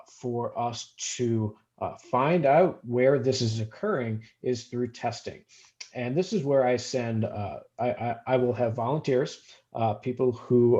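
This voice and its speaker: Mellow man on a dull call. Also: mid-range voice. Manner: halting, stammering over words. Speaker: fair housing investigator on a panel. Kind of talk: explanatory panel talk. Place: online video call. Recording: slightly dull video-call audio.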